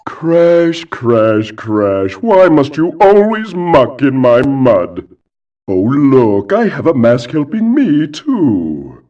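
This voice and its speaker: A man's voice: medium pitch, sing-song, takes it slowly, warm tone. A man voicing an exasperated, sneering mad-scientist villain in a video game, with theatrical delivery. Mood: exasperated, taunting. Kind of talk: video game cutscene voice acting. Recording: dull, distant lo-fi game audio.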